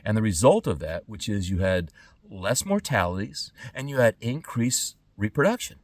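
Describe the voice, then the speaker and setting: A middle-aged man speaking an unscripted podcast monologue in emphatic, halting phrases with pointed pauses. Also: lowish voice, big pitch swings, no echo, recorded from a distance. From born in Trinidad and Tobago, lived in California. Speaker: podcast host.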